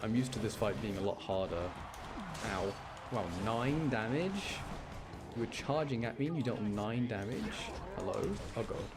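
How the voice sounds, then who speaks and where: Low, creaky-voiced man commentating a game. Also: moderate pace, music in the background. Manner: self-deprecating, complaining lightly, explaining game mechanics. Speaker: gamer playing and commenting, channel host. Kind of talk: casual gameplay commentary. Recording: noticeable background noise.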